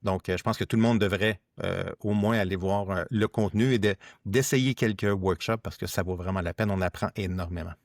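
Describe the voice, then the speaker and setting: A man with a Quebec French accent hosting a casual tech podcast, speaking haltingly and repeating his words. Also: low voice, dry recording, clean studio sound. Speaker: podcast host.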